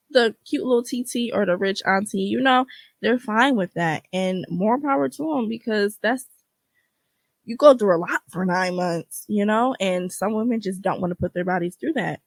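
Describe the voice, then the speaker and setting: A woman's voice: lowish voice, no echo. A woman in her twenties chatting casually and frankly as a solo podcast host, slangy and free with swearing. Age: 20s (twenty-three).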